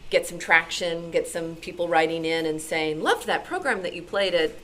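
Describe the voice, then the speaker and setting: A woman's voice: lowish voice, expressive, normal speed, fairly bright tone. A woman giving informal, encouraging answers as a radio show panelist at a meeting. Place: meeting room. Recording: noisy live meeting room.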